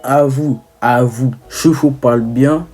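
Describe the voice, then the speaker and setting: Young male vlogger, mid-pitched and mellow, casual teasing delivery with stumbles and self-corrections. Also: steady tone, takes it slowly, faint music behind. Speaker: video creator. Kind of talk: casual humorous commentary.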